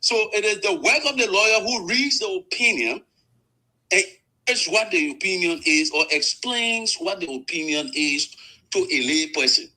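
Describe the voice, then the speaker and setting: A man's voice: high-pitched, animated, pushed and bright, rough recording. A man, a lawyer making a formal legal argument on a panel show. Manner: measured, formal courtroom phrasing.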